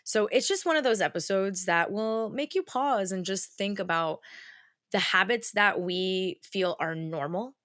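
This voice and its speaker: A woman's voice: medium pitch, unhurried, a bit bright, no echo, studio quality. A woman hosting a podcast, talking in a bubbly, upbeat way with lots of "like". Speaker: podcast host. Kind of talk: casual interview chat.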